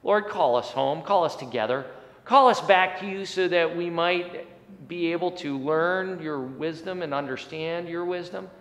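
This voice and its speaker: Earnest pastor in a reverberant church. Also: male voice, fairly high pitch, measured pace, a bit bright. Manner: earnest, rising and falling emphasis. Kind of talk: sermon and prayer. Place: church sanctuary, indoors. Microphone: fixed camera at chest height. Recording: long church hall reverb.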